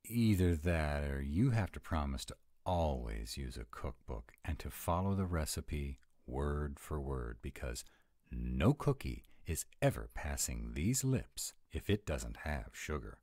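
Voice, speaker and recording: A man's voice: extremely low pitch, sing-song, a little slow, a little mellow, dry recording, clean studio sound, exaggerated voice. A man voicing a grumpy, rule-bound were-dragon boyfriend in a roleplay audio, speaking with fussy, exasperated emphasis. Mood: fussy, cooperative.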